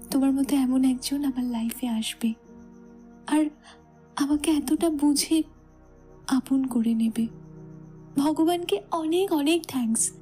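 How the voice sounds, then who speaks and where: A woman playing a shy, loving young bride in a romantic audio drama, with a soft, sweet delivery. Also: high voice. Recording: music bed under the voice.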